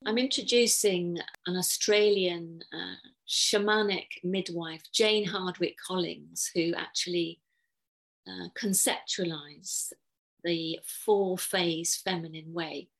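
A middle-aged woman, speaking thoughtfully and earnestly as an interview guest, with measured pauses. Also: fairly low pitch, fairly even intonation, gravelly, fairly bright tone. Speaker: podcast guest, therapist and coach.

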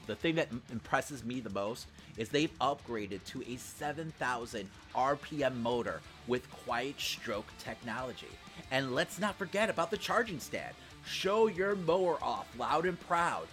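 Male podcast co-host, middle-aged, average pitch, casual wisecracking chatter over a light music bed. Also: a bit bright. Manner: chatty, joking, profane. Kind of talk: casual nerd culture podcast chat.

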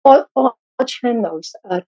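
A woman whose first language is Chinese, talking as an interview guest, halting and restarting with many fillers. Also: a little high, animated, lo-fi sound. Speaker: guest author. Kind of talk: casual interview talk.

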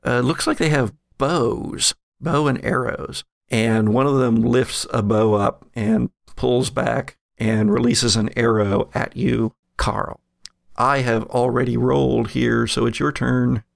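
Very gravelly man running a tabletop game. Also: medium pitch, steady tone, slow pace, no room sound, clean studio sound. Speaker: game master of a podcast. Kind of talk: narrating a tabletop horror game, with casual chat.

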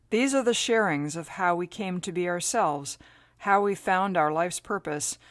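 A woman's voice: lowish voice, no echo, clean studio sound. A woman hosting an interview podcast, reading an upbeat scripted introduction and then chatting with her guest. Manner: enthusiastic, emphatic list delivery. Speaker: podcast host.

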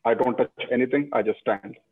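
A man from Pakistan muses as an interview guest, circling his points with restarts and "you know"s. He talks quickly at a middling pitch, and the sound comes through a thin, phone-like line. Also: breathy edge. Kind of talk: casual interview chat.

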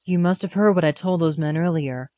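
A woman's voice: low-pitched, a little fast, mellow, no room sound, lightly acted. A woman voicing an arrogant young male sword master who talks in a dry, curt, sarcastic way. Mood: sardonic.

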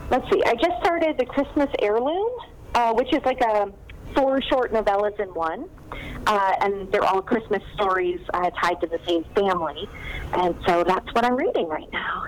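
A woman's voice: mid-range voice, measured pace, poor audio. Woman writer chatting on a podcast call, lots of ums, faint hiss behind. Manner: chatty, many fillers and restarts. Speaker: novelist guest. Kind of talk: casual interview chat.

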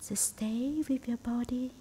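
Woman meditation guide, hushed and breathy, mellow tone, very unhurried short phrases, faint rumbly noise behind. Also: steady tone. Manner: hushed, breathy, near-whispered delivery. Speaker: meditation teacher.

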